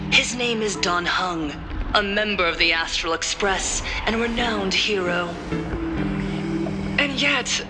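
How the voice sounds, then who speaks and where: A woman's voice: mid-range voice, lightly acted. An actress voicing a poised female broadcaster in a formal, theatrical public address. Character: poised, scheming female broadcaster making a grave public accusation. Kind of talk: dramatic televised public address.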